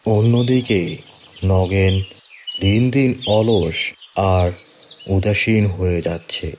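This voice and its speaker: Deep, slow male horror-story narrator. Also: background noise.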